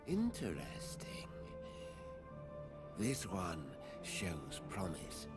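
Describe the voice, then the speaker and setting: A man's voice: low voice, huge pitch swings, extremely slow, exaggerated voice. A man playing an imperious, cryptic old keeper of knowledge in an audio drama, speaking with grave, theatrical formality. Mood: cool, probing. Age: older. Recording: dramatic music behind the voice.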